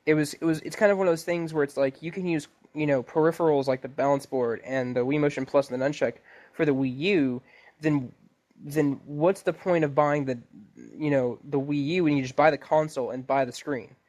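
A man's voice: on the high side, steady tone, a little fast, darkish tone. A man co-hosting a podcast in a casual gaming discussion, stammering and often restarting his sentences. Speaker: podcast co-host. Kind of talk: casual video game discussion.

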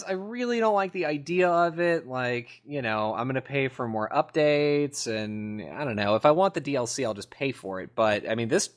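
A man co-hosting a podcast in casual conversation, opinionated and rambling, often repeating himself for emphasis. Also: mid-range voice. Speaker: podcast co-host. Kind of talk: casual gaming podcast chat.

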